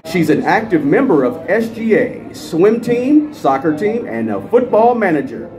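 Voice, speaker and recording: A middle-aged American man announces over the PA at a high school game. His voice sits high and swings widely in pitch as he stretches out names with ceremony. A crowd is clearly audible behind him. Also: big pitch swings, a little slow, low-quality recording. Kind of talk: live stadium PA announcing. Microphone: handheld dynamic microphone.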